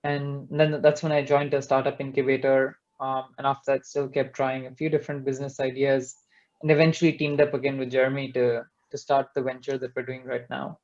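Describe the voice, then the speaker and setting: A man's voice: mid-range voice, level tone, brisk, darkish tone, lo-fi sound. A young man from India, a startup co-founder, speaking earnestly and reflectively on an online panel. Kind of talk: panel webinar talk.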